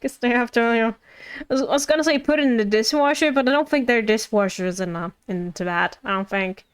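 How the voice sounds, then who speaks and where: Girl streamer w a high voice, just chatting along to the game and musing out loud. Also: fast, smooth. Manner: informal, musing aloud. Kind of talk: casual live gameplay commentary.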